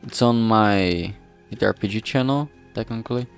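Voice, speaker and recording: Low, gravelly man rambling on a livestream. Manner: rambling, halting, often losing his thread. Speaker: streamer and amateur game writer. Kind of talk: casual livestream chat. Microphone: filtered streaming microphone. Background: background music.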